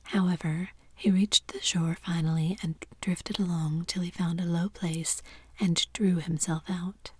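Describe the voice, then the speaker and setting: A woman narrating a classic story in a steady, hushed whisper. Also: fairly low pitch, measured pace, slightly rough, no echo.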